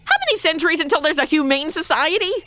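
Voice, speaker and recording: A woman's voice: sits high, fast, bright, forceful tone, no room sound. An actress playing a flippant, chatty modern young woman tossing snarky, casual asides into a comedy audio drama.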